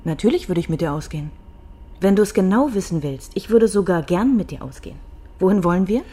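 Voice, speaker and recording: An actress playing a sharp-tongued young archive clerk in a radio crime drama, wry and quick to take offence. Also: female voice, on the low side, expressive, breathy edge. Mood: indignant.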